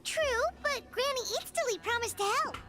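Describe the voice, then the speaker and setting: A woman voicing a tiny, childlike female fairy companion in a video game, squeaky, chirpy and eager. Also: animated, a little fast. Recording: loud game music behind.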